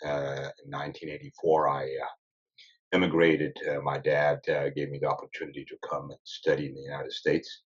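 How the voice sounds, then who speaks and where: Very deep-voiced man, measured. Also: level tone, husky. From Ethiopia. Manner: measured, deliberate, often pausing mid-sentence. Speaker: sleep medicine physician guest. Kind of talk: interview answers. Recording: dull lo-fi recording.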